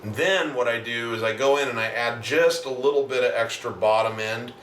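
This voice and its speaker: A man with a deep voice, a musician talking casually and at length in a video diary, explaining with many asides. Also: normal speed, a bit bright. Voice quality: deep natural voice. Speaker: singer-songwriter and self-producing musician. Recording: roomy, distant mic, faint background noise.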